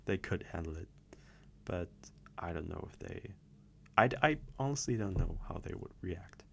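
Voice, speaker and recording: A man's voice: sits low, expressive, moderate pace, vocal fry, husky. A man chatting casually through viewer questions, rambling and hesitant with many restarts. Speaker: audio creator answering viewer questions. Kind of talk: casual Q&A monologue.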